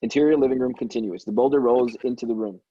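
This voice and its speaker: A man's voice: mid-range voice, fast, warm tone, breathy edge, no echo. A man reading stage directions as narrator in an amateur table read, stumbling now and then and correcting himself. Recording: dull, dry lo-fi microphone.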